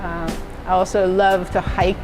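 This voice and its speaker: Norwegian-raised woman over soft music. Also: lowish voice, level tone, measured pace, rough recording. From Norway. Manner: conversational, many "uh" and "so" fillers, laughs lightly. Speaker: university fitness and wellness director. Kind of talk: relaxed interview answers. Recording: music playing underneath.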